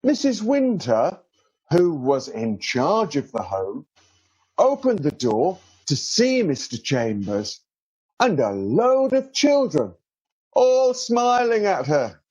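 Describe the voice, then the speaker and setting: A man with a high, slightly husky voice reads a children's storybook. His delivery is slow and very lively, stretching words out for effect. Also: lo-fi sound. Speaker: author reading a children's story. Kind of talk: scripted children's storybook narration.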